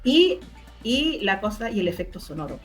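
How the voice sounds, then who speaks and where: A woman's voice: medium pitch, big pitch swings, normal speed, faint music behind. A woman with a Chilean accent, speaking casually as a guest in an online interview. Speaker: interview guest, sound artist.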